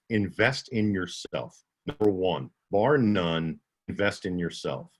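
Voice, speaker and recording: A man with a slight Southern twang answers questions at a writers' conference over a video call. His voice sits on the low side. He talks conversationally and often restarts his sentences. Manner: conversational, with many restarts and self-corrections. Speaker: audiobook narrator and instructor.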